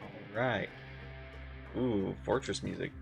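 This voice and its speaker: A man's voice: on the low side, slow, vocal fry, soft background music. A man reacting casually to a game as he streams, in short, laconic muttered remarks. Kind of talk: casual live gameplay reaction.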